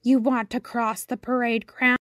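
Bossy old crab officer, scratchy, high, slow drawn-out orders, cross, storybook creature. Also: female voice, level tone, fairly bright tone, no room sound, studio quality. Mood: suspicious interrogation. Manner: barking, slow drawn-out commands.

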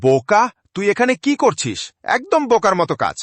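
A man's voice: high voice, wildly swooping pitch, normal speed, fairly bright tone, no room sound, exaggerated voice. A man voicing a greedy, bullying head gardener in a cartoon moral tale, theatrical and scolding. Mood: angry, accusing.

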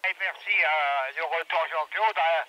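A man heard over a two-way radio, chatting casually in halting, repetitive phrases during a radio check. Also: on the high side, pushed and bright, dull audio. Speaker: amateur radio operator. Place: heard through handheld radio outdoors. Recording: noisy walkie-talkie speaker picked up outdoors.

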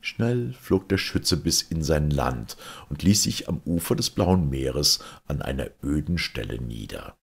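A man's voice: rumbling low, very animated, unhurried, gravelly, few pauses, husky. A man narrating a fairy tale expressively, as an audiobook reader.

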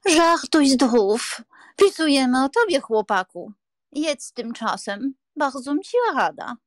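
Woman playing an elderly pious aunt, slow delivery, exclamatory lamenting, scolding, dry close sound, audiobook drama. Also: high voice, animated, no echo. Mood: fond, chiding.

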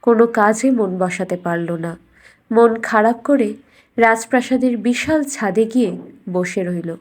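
High-voiced woman narrating fairy tales. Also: a little slow, darkish tone. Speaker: storyteller. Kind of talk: scripted fairy tale narration.

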